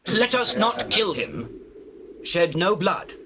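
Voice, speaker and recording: An urgent elder brother, commanding and pleading in a scripture drama. The voice is fairly high and swings widely. The tape is clearly noisy. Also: male voice, big pitch swings, slow, exaggerated voice. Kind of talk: dramatized scripture, stage-trained acting.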